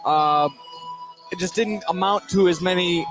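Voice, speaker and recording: High-voiced male basketball analyst. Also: unhurried, over music. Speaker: color commentator. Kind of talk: informal live basketball analysis.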